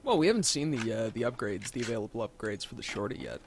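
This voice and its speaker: A man's voice: fairly low pitch, big pitch swings, fast talker. Dry-humored man with faint noise behind him. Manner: dry, joking quips. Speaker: co-commentator. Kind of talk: casual gameplay banter.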